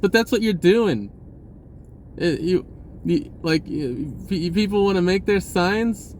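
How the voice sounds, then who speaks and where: A man's voice: high-pitched, lively intonation, takes it slowly, darkish tone, slight background noise. A man talking casually about spirituality in a rambling way, repeating words and swearing offhand. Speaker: spiritual vlogger.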